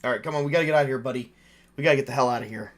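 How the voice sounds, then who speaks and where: A man acts as game master for a tabletop horror game. He narrates the action in an informal, conversational style, making it up as he goes and speaking in a medium-pitched voice. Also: racing, a bit bright. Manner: informal, improvising with mild swearing.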